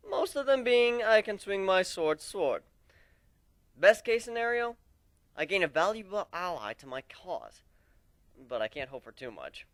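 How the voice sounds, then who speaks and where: A man's voice: high-pitched, sing-song, unhurried, a bit bright, no echo, pitched above the performer's own voice, heavily acted. A man voicing a brash, frank young griffin warrior in a dramatic story reading. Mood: determined, emphatic.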